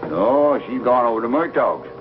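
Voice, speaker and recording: A man's voice: medium pitch, animated, normal speed, noisy background, natural voice. A man playing a gossipy, sardonic small-town depot worker with a folksy, needling manner in an old western drama. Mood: offhand. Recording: dull vintage soundtrack with music.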